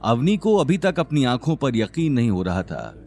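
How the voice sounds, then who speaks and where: A man narrating a scripted audio drama in a dramatic, expressive storytelling manner. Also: mid-range voice, moderate pace, soft background music.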